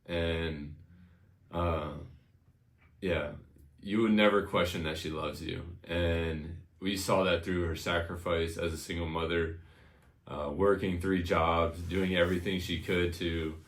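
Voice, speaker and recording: Deep-voiced American man in his thirties. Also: fairly even intonation, a little slow, roomy sound. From Connecticut, United States. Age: 30s. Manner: informal, reminiscing, frequent "you know". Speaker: interview guest. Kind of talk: casual personal storytelling.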